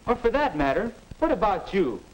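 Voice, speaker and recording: A man's voice: sits high, very animated, normal speed. A man playing a restless, doubting American teenage boy in a 1950 educational film, earnest and stagey, on a noisy old soundtrack. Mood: puzzled, moping.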